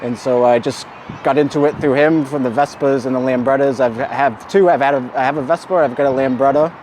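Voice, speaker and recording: A man's voice: medium pitch, fairly even intonation, brisk, a little mellow, slight background noise, rough recording. A man in his forties, interviewed outdoors, telling how he became a fan in an easygoing, rambling way. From Boston, USA.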